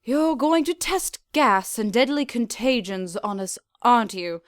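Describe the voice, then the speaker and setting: A woman's voice: on the high side, lively intonation, unhurried, no echo. A woman voicing a weary, sardonic male pony doctor who heckles a story in a dry, exasperated way.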